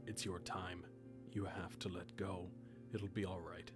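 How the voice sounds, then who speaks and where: A man playing a calm, solemn grim reaper in an audio drama, speaking in a measured, matter-of-fact way. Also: deep voice, music in the background.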